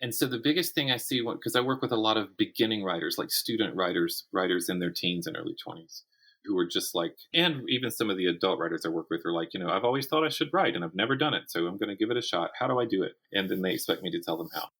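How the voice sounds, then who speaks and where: A man chatting casually as an interview guest, telling stories in animated, stop-start phrases. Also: low voice, quick pace, slightly rough, poor audio. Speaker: podcast guest, writer and writing teacher.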